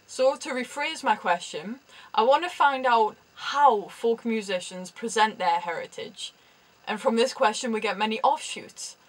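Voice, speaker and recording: A woman's voice: a little high, poor audio. A young woman from Newcastle with a Geordie accent, a university student explaining her research in a chatty vlog with dry humorous asides. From Newcastle, England.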